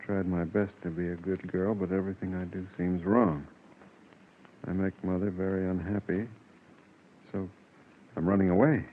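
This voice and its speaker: An actor playing a gentle, trusting father and husband in earnest 1940s radio drama style. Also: deep voice, flat delivery, normal speed, pauses often, lightly acted. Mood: worried. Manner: soothing, earnest. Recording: muffled, noisy 1940s radio recording.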